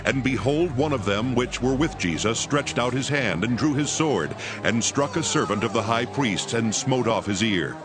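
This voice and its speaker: A man's voice: mid-range voice, lively intonation, moderate pace, hardly any pauses. A man narrating scripture from a script, in a solemn storytelling manner. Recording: background music under the voice.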